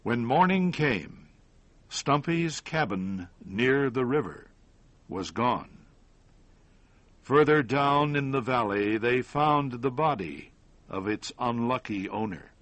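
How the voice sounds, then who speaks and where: A man reads a story as a narrator, taking his time over each phrase. His pitch moves expressively across the lines, and the recording is dry and close, with very little room sound. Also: lively intonation, a bit bright, no room sound. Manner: measured, deliberate phrasing with short pauses. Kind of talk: scripted audiobook-style story narration.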